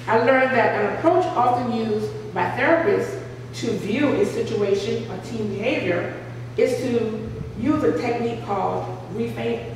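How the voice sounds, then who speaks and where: Emphatic woman author in an echoey cafe. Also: on the high side. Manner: emphatic, repeats words for stress. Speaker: author at a book signing. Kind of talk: speaking to a small audience and reading aloud. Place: small art cafe, indoors. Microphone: far camera, no mic. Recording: echoey room from far off with noise.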